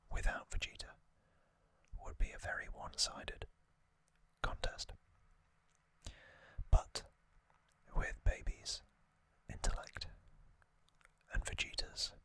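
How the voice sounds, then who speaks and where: A man whispering softly in a breathy, deliberate ASMR narration, speaking in short phrases with pauses. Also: no echo. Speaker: host of an ASMR channel. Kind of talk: whispered ASMR trivia narration and reading aloud.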